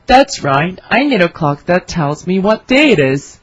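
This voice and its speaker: A woman's voice: lowish voice, very animated, unhurried. Woman reading a scripted dialogue. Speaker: dialogue reader for English learners. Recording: dry, slightly dull studio recording.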